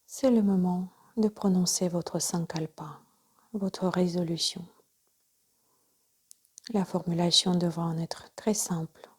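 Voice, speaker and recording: Female yoga nidra guide: soft, breathy near-whisper, dark warm tone, unhurried measured delivery of calm instructions. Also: lowish voice. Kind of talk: guided relaxation meditation.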